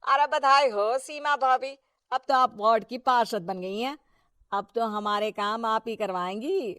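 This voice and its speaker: Woman doing a high voiced fawning townsperson, cartoon story, super deferential, dry audio. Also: measured pace, bright, forceful tone, clean tone, no echo. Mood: flattering. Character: deferential, flattering townsfolk of a ward. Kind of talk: Hindi cartoon moral story acting.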